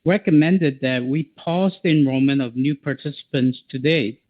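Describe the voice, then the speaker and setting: A man reading a formal, scripted corporate statement as an executive on an earnings call, in a measured way over a phone line. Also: mid-range voice, no room sound. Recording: tinny phone-like conference call.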